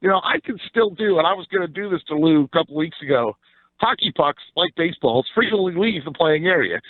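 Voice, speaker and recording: A man's voice: on the high side, expressive, brisk, no echo, phone-quality sound. An American man chatting casually and bluntly about sports as an opinionated radio guest. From Philadelphia area, USA.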